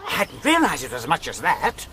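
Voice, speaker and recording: Quick, querulous male gang member. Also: a little high, huge pitch swings, a crowd in the background. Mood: peeved, sarcastic. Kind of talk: radio drama acting.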